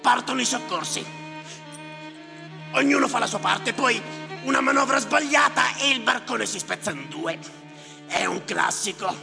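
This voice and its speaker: Bitter, gravel-voiced stage grumbler. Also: male voice, extremely high pitch, animated, normal speed, pauses often, exaggerated voice. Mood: outraged, forceful. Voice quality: raspy, gravelly. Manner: muttering to himself, sardonic, declamatory, repeating words obsessively. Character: bitter, cynical, grumbling male clerk tallying the drowned. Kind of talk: theatrical stage monologue with live music. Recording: reverberant hall, music behind voice. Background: music.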